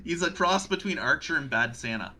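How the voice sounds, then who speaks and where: A man's voice: fairly high pitch, expressive, brisk. A man chatting casually with friends about a tabletop game, with some background noise. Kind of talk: casual group banter on a live stream.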